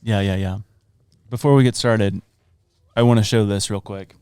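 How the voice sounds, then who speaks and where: A man's voice: on the low side, steady tone, moderate pace, warm tone, smooth, dry recording, studio quality. A young man co-hosting a comedy podcast, joking around casually and breaking into goofy singing and accents. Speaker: podcast co-host.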